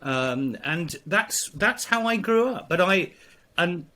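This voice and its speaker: Halting English priest, higher voice. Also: male voice, expressive, pushed and bright. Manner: halting, many fillers and restarts. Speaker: priest, guest. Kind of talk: reflective storytelling in conversation.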